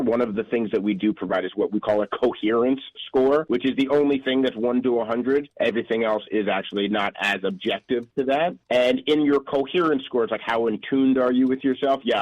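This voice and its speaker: A man's voice: medium pitch, no room sound, dull audio. A middle-aged man, a company founder, giving rambling, earnest answers in a radio interview, often correcting himself. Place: radio studio.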